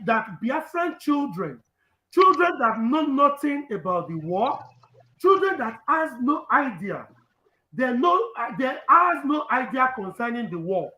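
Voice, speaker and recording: A man's voice: very high voice, pushed and bright, reverberant, low-quality recording. A man with a Nigerian accent, a native Urhobo speaker, giving an impassioned live speech that repeats phrases for emphasis. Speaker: activist speaker.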